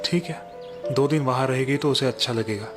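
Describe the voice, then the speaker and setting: A man's voice: medium pitch, brisk, over music, natural voice. A man voicing a tired village farmer husband who speaks mildly and unbothered. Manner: mild, unbothered.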